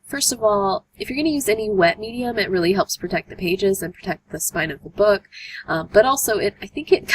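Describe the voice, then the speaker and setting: A young woman with a hoarse voice from a cold, hosting a casual art tutorial voice-over with lots of "um" fillers. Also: on the low side, slight background noise, rough recording. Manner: chatty, many "um" fillers. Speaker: art journaling tutorial host.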